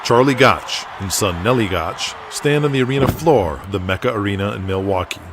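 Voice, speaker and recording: A man's voice: on the low side, fairly quick, background noise. A man reading scripted narration for an audio drama. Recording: music bed behind voice. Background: music.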